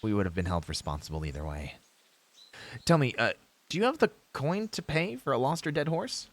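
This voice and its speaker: Man playing an earnest young hero, lively swings in pitch. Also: a little fast, lightly acted. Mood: reasoning, casual. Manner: formal, heroic storybook phrasing with hesitant asides. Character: earnest young male novice hero, brave, idealistic, kindly. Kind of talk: fantasy audio roleplay acting, speaking to a companion.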